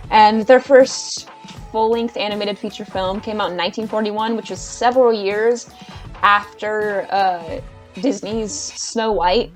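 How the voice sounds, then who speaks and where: A woman talks to camera in a casual video-essay rant. Her voice sits in a middle range, and her delivery is chatty and enthusiastic but hesitant, with frequent restarts. Soft music plays faintly behind her. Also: mid-range voice. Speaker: YouTuber.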